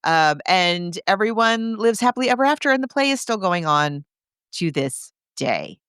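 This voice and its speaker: A woman's voice: medium pitch, expressive, moderate pace, a bit bright, clean tone, dry recording, studio quality. A woman co-hosting a podcast, recapping a film in casual chat with a conversational storyteller's manner. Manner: conversational storytelling, acting out characters' reactions. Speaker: podcast co-host.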